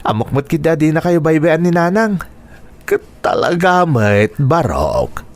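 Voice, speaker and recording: A man's voice: a little high, sing-song, a little slow. A man playing a kindly older uncle in a radio drama, speaking in a fatherly, reassuring way. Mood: tender, resigned.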